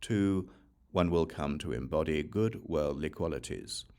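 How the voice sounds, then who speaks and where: A man reading scripture as an audiobook, in a measured, deliberate way with pauses between points. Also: extremely low pitch, darkish tone. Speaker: audiobook reader.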